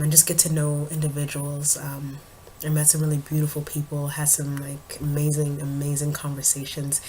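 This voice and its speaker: A young woman vlogger and poet chatting casually to her camera at home, her voice a little raspy from a cold, with frequent ums and small laughs. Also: low-pitched, a little mellow, slight background noise, rough recording. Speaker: vlogger and spoken word poet.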